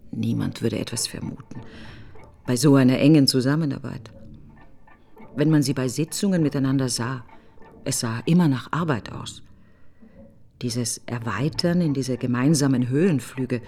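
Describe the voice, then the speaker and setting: An actress playing a bitter, grieving middle-aged woman in a literary radio monologue, breathy and brooding, speaking in insistent fragments. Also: very deep voice, dark tone, a little hiss or hum, heavily acted.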